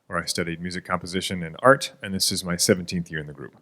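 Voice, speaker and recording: A bass singer from near Chicago introduces himself in a plain, matter-of-fact way. His speaking voice is very low and quick. The recording is noisy, with clearly audible clicks. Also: steady tone. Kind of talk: self-introduction.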